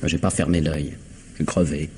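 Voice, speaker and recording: An actor playing a troubled, evasive student son in a French radio mystery, speaking in a weary, hesitant way. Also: male voice, extremely low pitch, flat delivery, moderate pace, dark tone, a little hiss or hum. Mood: exhausted, evasive.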